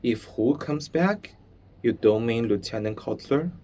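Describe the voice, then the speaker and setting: A man's voice: medium pitch, animated, measured pace, dark tone. A man voicing a bold, generous young boy in a read-aloud story, speaking emphatically. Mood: puzzled, reassuring.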